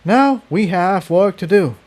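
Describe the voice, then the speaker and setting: A man's voice: sits high, expressive, measured pace, a little mellow, voice pushed up. A man voicing a noble, determined female pony heroine, speaking urgently and earnestly. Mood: resolute.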